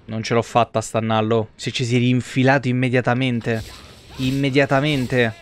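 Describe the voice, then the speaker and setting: A man's voice: medium pitch, little pitch movement, normal speed, clean tone. A man, giving casual, blunt and sweary live game commentary to his chat. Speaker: live streamer. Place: indoor room at home, streaming setup. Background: video game music.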